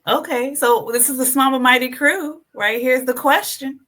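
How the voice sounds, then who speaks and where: A woman's voice: sits high, normal speed, fairly bright tone. A woman hosting a conversational interview, asking probing questions and affirming briefly as she goes. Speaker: interview host.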